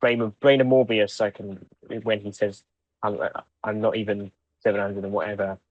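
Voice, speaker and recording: A man's voice: lowish voice, level tone, normal speed, dry recording. A man co-hosting a podcast in casual, conversational fan chat. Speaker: podcast co-host. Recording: dull, dry, band-limited microphone.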